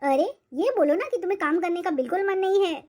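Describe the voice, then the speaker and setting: A woman's voice: very high voice, fast talker, darkish tone, slight background noise. A woman voicing a nagging older mother-in-law in a cartoon family drama, with a complaining manner. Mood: irritated, complaining. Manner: scolding, complaining.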